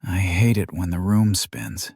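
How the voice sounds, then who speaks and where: A man's voice: low-pitched, fairly even intonation, a little slow, creaky, a little mellow, husky, dry recording, studio quality, heavily acted. Man doing a blunt, grumbling young fighter.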